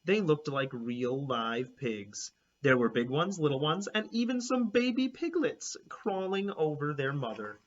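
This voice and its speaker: A male teacher narrating a children's book aloud in a fairly high, very animated voice. He reads expressively and turns to chat with his young listeners. The sound is a little dull and lo-fi. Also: a little slow, few pauses. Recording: slightly dull lo-fi recording.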